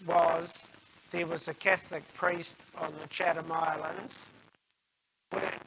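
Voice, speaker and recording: An older man giving an explanatory religious talk in a measured, halting way, with frequent pauses mid-sentence. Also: fairly high pitch, flat delivery, vocal fry, fairly bright tone, a little hiss or hum, rough recording.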